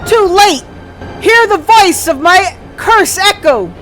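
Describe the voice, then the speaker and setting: A game streamer voicing a desperate male villain, with loud, dramatic exclamations. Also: extremely high pitch, lively intonation, takes it slowly, soft background music, voice pushed up, heavily acted. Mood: gloating.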